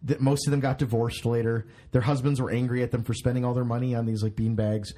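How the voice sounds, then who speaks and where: A man's voice: mid-range voice, level tone, fast talker, dark tone. A man in his thirties hosting a podcast in casual chat, rambling, swearing and laughing at himself. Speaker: podcast host.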